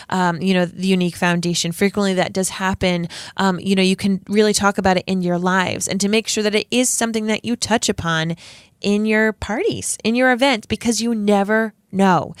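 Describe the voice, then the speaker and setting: A woman's voice: mid-range voice, clean tone, dry recording, clean studio sound. A woman with a Rhode Island accent hosting a podcast from her basement, chatty, bubbly and self-deprecating. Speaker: podcast host. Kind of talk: casual podcast chat. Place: home basement. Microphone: studio microphone.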